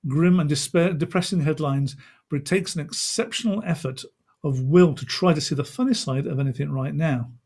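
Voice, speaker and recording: A man's voice: a little high, a little mellow. A man hosting an online event in an informal, chatty and rambling way. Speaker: event host. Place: indoors on a video call.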